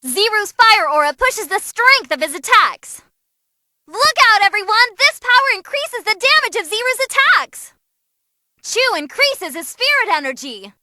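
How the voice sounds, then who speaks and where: A woman voicing an excitable young female demon who commentates on tournament fights in a bubbly, theatrical way for a game. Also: very high-pitched, measured pace, no echo, heavily acted. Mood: brisk, emphatic.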